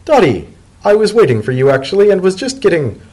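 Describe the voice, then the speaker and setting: Man playing an earnest, high-pitched winged pony. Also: a little fast, slightly rough, full character voice, poor audio. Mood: placating, awkward. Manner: hesitant, earnest, excitable. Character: anxious, affectionate winged male pony, warm and hesitant. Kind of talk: dramatic reading of a story.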